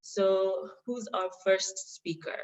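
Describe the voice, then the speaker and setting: A woman's voice: mid-range voice, slow. A woman hosting an online youth webinar in a semi-formal, appreciative way with hesitant phrasing, speaking over a video call. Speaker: moderator of an online youth panel. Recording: muffled, lo-fi online call audio.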